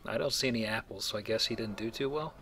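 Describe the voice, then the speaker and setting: A man's voice: lowish voice, quick pace, fairly bright tone, slight background noise. A man hosting a video game playthrough with casual, joking commentary and a wry manner. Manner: wry, acts out mock quotes. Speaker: video game let's play host.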